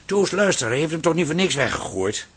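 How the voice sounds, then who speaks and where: Placating husband, husky and animated. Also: male voice, fairly high pitch, fairly quick. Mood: patient. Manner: placating, stammering, repeats himself. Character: placating, reasonable husband, Mees. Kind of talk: naturalistic Dutch radio drama acting.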